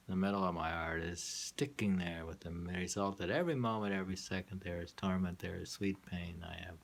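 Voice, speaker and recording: A man reading his own poems aloud in a halting, musing way, with muttered asides. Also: lowish voice. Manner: halting, musing, hums to himself between lines.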